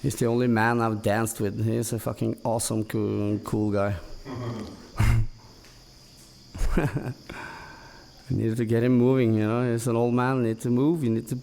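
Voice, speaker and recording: Halting Norwegian man, creaky voice. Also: mid-range voice, flat delivery, a little mellow, a little hiss or hum. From Norway. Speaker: podcast guest. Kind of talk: casual chat, commenting on photos.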